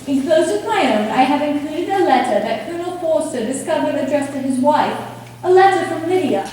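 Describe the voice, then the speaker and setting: Woman as very high-voiced worried sister, echoey. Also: lively intonation, brisk, a little mellow. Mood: grave. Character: gentle, worried young sister reading her letter. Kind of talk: amateur stage play acting. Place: theatre stage, recorded from a distance. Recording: echoey hall, distant mic, faint noise.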